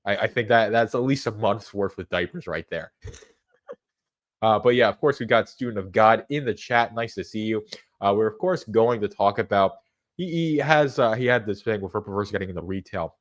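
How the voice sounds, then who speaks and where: A man's voice: on the low side, moderate pace. A man with a congested, stuffy voice hosts a casual livestream, rambling with frequent restarts and fillers. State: congested from a cold. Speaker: livestream host.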